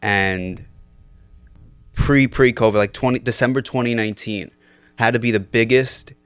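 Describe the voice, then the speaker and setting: A man's voice: mid-range voice, fairly even intonation, moderate pace, clean tone, no room sound. A young man in his twenties telling stories on a casual podcast, loose and laughing with lots of fillers. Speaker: podcast guest. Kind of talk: casual storytelling chat.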